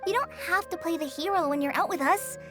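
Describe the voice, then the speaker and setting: A woman voicing a gentle, eager young forest ranger girl in a video game, light and earnest. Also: extremely high pitch, fast talker. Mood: eager, friendly. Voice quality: light, girlish. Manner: polite, earnest. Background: game music.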